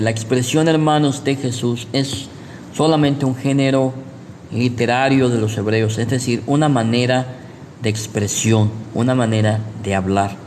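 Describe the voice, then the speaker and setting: A man's voice: mid-range voice, darkish tone. Male preacher, Guatemalan accent, didactic sermon delivery with rhetorical questions; long room reverb and some background noise. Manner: didactic, repeats points, checks in with rhetorical questions. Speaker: pastor, preacher. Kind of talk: sermon, teaching with personal anecdotes.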